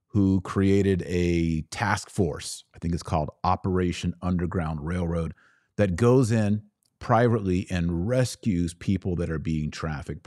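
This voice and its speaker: A man with an American accent and a fairly low voice speaks as a podcast host. He gives conversational news commentary, punching short phrases for emphasis. The sound is dry and close, like a studio with little room reflection. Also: a little mellow, dry recording. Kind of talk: live-streamed opinion commentary on news.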